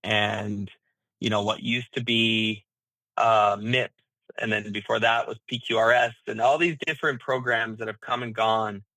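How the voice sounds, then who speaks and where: A man speaking as an interview guest, explaining his business in a halting, thinking-aloud way with repeated words. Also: lowish voice, steady tone, bright, forceful tone, no echo, low-quality recording. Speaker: interview guest, healthcare management founder.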